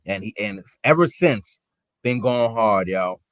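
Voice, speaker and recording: A man hosting a podcast, telling a story in relaxed casual slang among friends. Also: on the low side, a little slow, clear, resonant tone, no echo. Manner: laid-back slangy storytelling. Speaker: podcast host. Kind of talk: casual chat.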